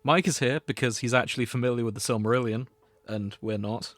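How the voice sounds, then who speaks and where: A man's voice: mid-range voice, brisk, fairly bright tone, no room sound. A man hosting a casual podcast, joking and teasing with his friends. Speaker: podcast host.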